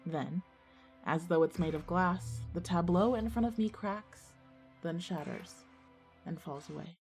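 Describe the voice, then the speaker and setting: A woman acting as an earnest schoolgirl visionary, narrating her own ghost story in a measured way for an audio drama. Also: on the low side, a little mellow, faint music behind. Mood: solemn, hushed. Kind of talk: scripted first-person dramatic narration.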